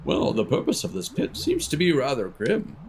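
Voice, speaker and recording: A man voicing a studious, chatty elderly scholar in a game, lecturing in a formal, theatrical, measured way. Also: fairly high pitch, huge pitch swings, a little hiss or hum, pitched above the performer's own voice. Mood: pedantic, eager.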